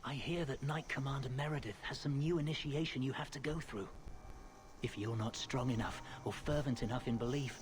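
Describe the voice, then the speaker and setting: A man's voice: medium pitch, a little fast, a little hiss or hum, lightly acted. A man voicing an uneasy young recruit.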